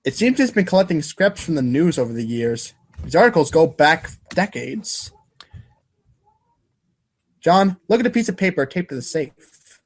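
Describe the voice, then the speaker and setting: Higher-voiced man, theatrical wry narrator. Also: sing-song, moderate pace, long pauses, breathy edge. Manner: theatrical, wry, stumbles over long words. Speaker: narrator of a comedic reader's theater podcast. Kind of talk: dramatic reading with second-person narration.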